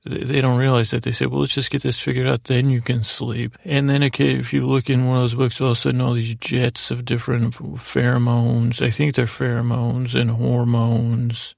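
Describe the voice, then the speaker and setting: A man hosting a sleep podcast, giving a meandering, halting bedtime monologue in a creaky, gravelly voice, stumbling over his words. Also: medium pitch, darkish tone, no room sound, studio quality. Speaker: podcast host. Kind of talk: rambling bedtime monologue to help listeners sleep.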